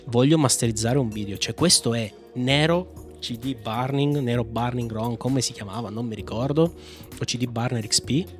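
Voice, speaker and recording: A man from Italy hosting a casual software walkthrough, chatting informally and thinking aloud as he tries commands. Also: medium pitch. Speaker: tech tutorial host. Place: indoor room at a computer, screencast with small webcam.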